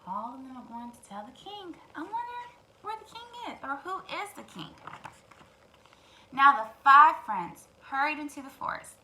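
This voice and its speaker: High-pitched, animated woman reading a storybook. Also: moderate pace, slight background noise, rough recording. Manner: addresses young listeners with wondering questions. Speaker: children's storytime host and reader. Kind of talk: animated storybook narration for children.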